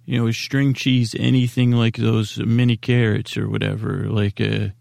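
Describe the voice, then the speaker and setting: A man hosting a sleep podcast in a casual, meandering monologue, halting and mumbling in a self-deprecating way. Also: on the low side, flat delivery, vocal fry, a little mellow, no room sound, clean studio sound. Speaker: podcast host.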